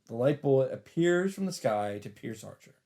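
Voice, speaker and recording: A man's voice: medium pitch, big pitch swings, moderate pace, warm tone. A man doing a let's play, reading visual novel narration aloud with casual, sometimes sweary commentary. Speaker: let's player.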